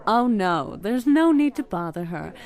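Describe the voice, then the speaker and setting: A woman's voice: medium pitch, sing-song, a little slow, darkish tone. Actress as a gracious, sweetly polite lady passenger.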